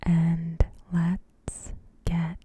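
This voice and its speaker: Female ASMR narrator: extremely soft and mellow, whispered and breathy, lowish pitch, unhurried scripted delivery with careful pauses. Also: completely flat delivery, slight background noise. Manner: hushed, slow, deliberate pauses. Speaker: ASMR host. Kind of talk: ASMR, softly spoken scripted episode recap.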